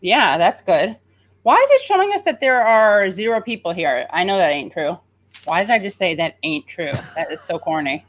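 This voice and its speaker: A woman's voice: mid-range voice, very animated, moderate pace. Chatty nasal woman hosting a show. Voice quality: slightly nasal. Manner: chatty, self-mocking, rambling with fillers. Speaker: show host. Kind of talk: casual livestream banter.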